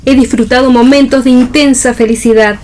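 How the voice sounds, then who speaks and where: Actress as a high-voiced sensual woman. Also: steady tone, a little fast, darkish tone, slight background noise, lightly acted. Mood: rapturous. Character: sensual, philosophizing woman, passionate about love. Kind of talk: read-theatre stage acting on radio.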